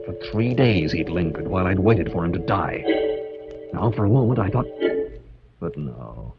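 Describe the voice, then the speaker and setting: An actor playing a bitter, brooding son full of hate, melodramatic in the style of 1940s radio. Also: male voice, deep voice, sing-song, moderate pace, creaky, full character voice. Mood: cold brooding. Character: bitter, hateful son waiting for father's death. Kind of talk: 1940s radio acting with first-person narration. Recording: muffled vintage radio broadcast, loud music.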